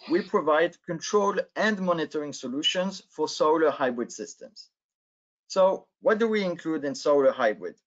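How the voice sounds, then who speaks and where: A man in his thirties with a French accent, giving a webinar presentation on a video call through a headset, with many filler sounds. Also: on the high side. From France. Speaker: guest speaker, sales manager. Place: indoor office, video call. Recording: dull lo-fi video call audio.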